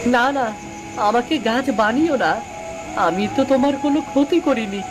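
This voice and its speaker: A woman's voice: a little high, measured pace, music in the background, natural voice. A woman voicing a brave little boy in a cartoon, speaking in an earnest, imploring way. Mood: frightened, pleading. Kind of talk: cartoon voice acting.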